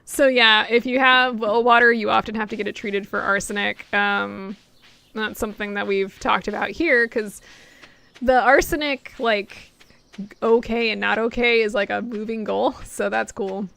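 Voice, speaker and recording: A young adult woman streaming a game, chatting casually with lots of fillers, her voice getting hoarse after hours of talking. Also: mid-range voice, fairly even intonation, normal speed, pushed and bright, no room sound. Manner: chatty, rambling, many fillers and laughs. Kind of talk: casual chat while gaming.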